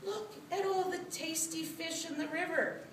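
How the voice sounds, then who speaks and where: A crafty little storybook rat, put on by a woman, fretful and scheming. He speaks in a high, plaintive voice, recorded roomy and slightly distant. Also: fairly even intonation, measured pace. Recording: roomy, distant mic, faint noise.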